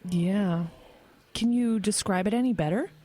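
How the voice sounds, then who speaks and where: A woman's voice: mid-range voice, a little slow, mellow, close to an everyday voice. An actress playing a friendly, inquisitive woman co-worker, chatting in an audio drama. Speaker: supporting actress.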